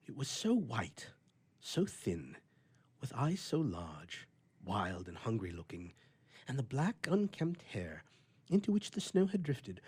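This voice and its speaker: A man playing a grumpy, flustered bachelor with a soft heart, telling his tale with theatrical bluster and stammering in old-fashioned storybook prose. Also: medium pitch, husky, heavily acted. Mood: haunted, uneasy.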